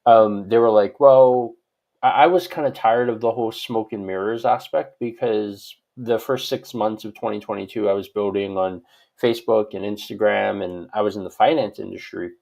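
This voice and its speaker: A man's voice: lowish voice, steady tone, normal speed, vocal fry, darkish tone. A man from Hawaii answering conversationally as an expert guest in an interview, rambling and often adding "like" and "right?". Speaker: guest expert on social media profiles.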